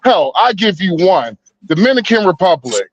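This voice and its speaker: Man, 30s, podcast co-host: high, profane, rambling, slightly muffled dry sound. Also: big pitch swings, moderate pace. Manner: rambling, profane, stops and restarts a lot. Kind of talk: heated casual debate talk.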